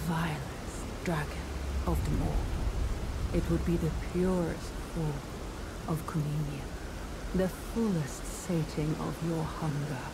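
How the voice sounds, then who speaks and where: Slow, solemn dragon priestess. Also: female voice, sits low. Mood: reverent, enticing. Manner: slow, ceremonial, archaic, hushed phrase endings. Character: solemn, patient dragon priestess urging warriors to feast. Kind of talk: scripted fantasy game acting. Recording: loud rain-like ambient noise.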